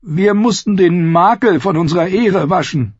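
A man's voice: normal speed, darkish tone, slightly rough, no room sound, lo-fi sound. A man voicing a terrified, pleading captive in an audiobook, stammering and whining in desperate self-excuse. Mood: desperate, defensive. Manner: stammering, whining, shrill when panicked. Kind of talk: audiobook character voice.

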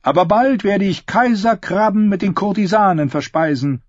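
A male narrator plays a cocky young minstrel in an audiobook, bragging and scornful. The voice is raised well above his own and a little husky, in a muffled, roomy recording. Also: sits high, normal speed, pitched above the performer's own voice. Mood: boastful. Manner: boastful. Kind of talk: audiobook character voice.

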